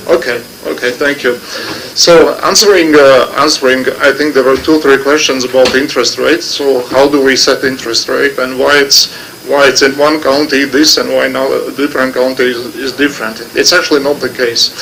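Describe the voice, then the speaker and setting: Executive explaining carefully. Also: male voice, medium pitch, a bit bright, a little hiss or hum, poor audio. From Latvia. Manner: careful, explanatory, repeats words while thinking. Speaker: company executive testifying. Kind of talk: answering a committee's questions. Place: parliament committee room.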